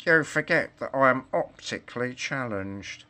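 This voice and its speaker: A man's voice: mid-range voice, lively intonation, moderate pace, pushed and bright. Man voicing a coaxing, sly storybook wolf. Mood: wounded, haughty. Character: sly, preachy male wolf, predator, easily offended.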